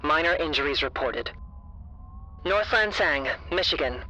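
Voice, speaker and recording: A man's voice: on the high side, animated, measured pace, bright, forceful tone, heavily acted, rough recording. An actor playing an impersonal male official who reads out an incident report in an audio drama, formal, clipped and detached. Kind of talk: scripted bulletin in an audio drama.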